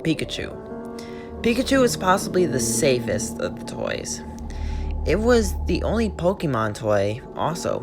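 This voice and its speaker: A man's voice: on the high side, huge pitch swings, measured pace, over music. A man hosting his channel who reads a creepypasta aloud word for word, often stumbling and restarting his sentences. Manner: plain reading with frequent stumbles and restarts. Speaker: channel host and story reader.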